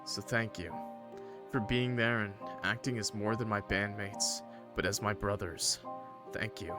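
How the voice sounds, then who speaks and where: A man's voice: lowish voice, fairly even intonation, a little slow, music in the background, natural voice. Man voicing an earnest young boyband frontman. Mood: earnest, tender. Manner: earnest, soft, speech-making. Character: young male boyband frontman, showy sincere public charmer. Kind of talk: visual novel character reading.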